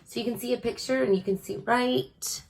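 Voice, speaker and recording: A woman's voice: a little high, steady tone, fairly quick, vocal fry, rough recording. A woman reading a children's story aloud, adding informal, chatty asides to her listeners.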